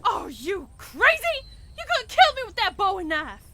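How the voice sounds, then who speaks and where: A woman's voice: very high voice, huge pitch swings, normal speed, heavy background noise, heavily acted. A woman acting a haughty, defiant dance-hall performer in a fan comic dub, indignant and theatrical.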